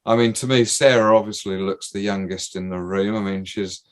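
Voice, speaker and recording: A man's voice: fairly low pitch, steady tone, brisk, a crowd in the background. A man in his forties hosting a casual podcast chat, teasing his guests and swearing easily. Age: 40s. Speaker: podcast host.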